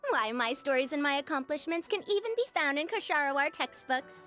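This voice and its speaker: A woman's voice: high voice, lively intonation, fairly quick, a bit bright. A woman voicing a proud, indignant old female scholar in a video game, theatrical and grumbling, with music behind her. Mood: boastful, put out. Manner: theatrical, self-important, grumbling. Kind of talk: video game character monologue.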